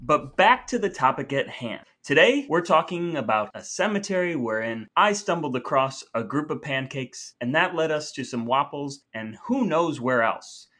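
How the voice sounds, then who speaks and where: Male podcast co-host, bright forward tone, casual storyteller. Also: on the high side, very animated, normal speed, hardly any pauses.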